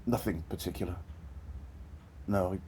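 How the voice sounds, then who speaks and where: An actor playing a regretful, miserly old man in an audio drama, with a husky voice. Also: low-pitched, steady tone, unhurried, dark tone, slight background noise. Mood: earnest, wistful.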